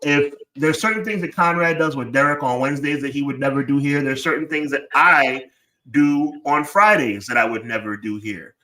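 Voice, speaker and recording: Bright-voiced slangy man on a podcast. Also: medium pitch, normal speed, poor audio. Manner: slangy, rambling, self-censoring banter. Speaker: podcast co-host. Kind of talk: casual live-stream chat.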